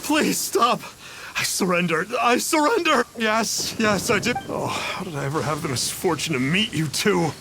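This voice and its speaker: A man's voice: sits high, expressive, normal speed, creaky, background noise. A man playing a pompous but cowardly fraudster who poses as a sage, in a theatrical video game style. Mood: panicked pleading. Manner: theatrical, haughty third person, flipping to grovelling.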